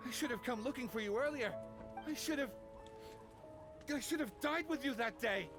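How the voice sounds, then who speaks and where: Brief: a sorrowful game character, high strained voice, soft music behind. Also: male voice, moderate pace. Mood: grieving. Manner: anguished, pleading, voice rising in despair. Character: grief-stricken man mourning his lost love. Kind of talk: game cutscene voice acting.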